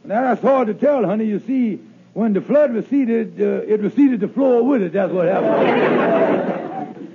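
A man playing a scheming, smooth-talking husband in a 1950s radio comedy, folksy and wisecracking, with a husky, gravelly voice. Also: high voice, animated, a little slow, a faint crowd, heavily acted. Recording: tinny old radio recording.

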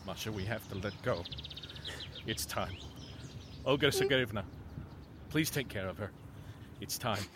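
A man's voice: on the low side, background noise, natural voice. A man playing a grave departing officer. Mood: solemn farewell. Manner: quiet, halting farewell. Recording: outdoor birdsong and ambience. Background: birds, rural outdoor ambience.

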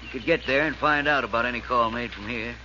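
An actor playing a laconic country hired hand with folksy, drawling rustic speech in 1940s radio style. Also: male voice, mid-range voice, quick pace, sharp tone. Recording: muffled, noisy old radio recording.

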